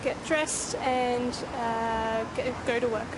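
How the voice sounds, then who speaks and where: Higher-voiced woman over street noise. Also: fairly even intonation, slow. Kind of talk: interview answers.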